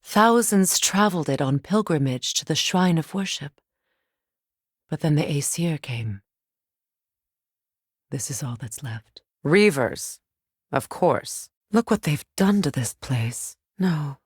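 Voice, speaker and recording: A woman playing a solemn, compassionate, determined ancient goddess in a video game, speaking in a measured, formal, earnest way. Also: deep voice, expressive, breathy edge, dry recording, clean studio sound, heavily acted. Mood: grave, sorrowful. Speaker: video game character voice actor. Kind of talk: dramatic game dialogue.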